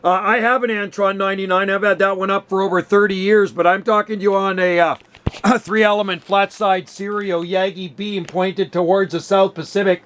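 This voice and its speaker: High-pitched man chatting on radio. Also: steady tone, moderate pace, a bit bright. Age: about 60. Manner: chummy, complimentary. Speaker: amateur radio operator. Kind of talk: casual radio chat with call phrases.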